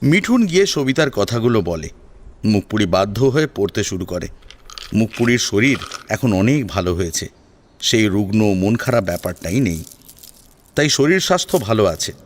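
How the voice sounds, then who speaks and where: A man's voice: on the low side, expressive, moderate pace. A man narrating a scripted story in a dramatic storytelling style.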